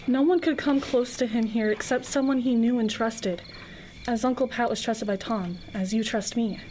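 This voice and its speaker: A woman's voice: fairly high pitch, moderate pace, darkish tone, slight background noise, lightly acted, rough recording. A young woman acting in a scripted radio drama as a state trooper's frightened, devoted sweetheart, earnest and pleading. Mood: uneasy. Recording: music bed under the voice.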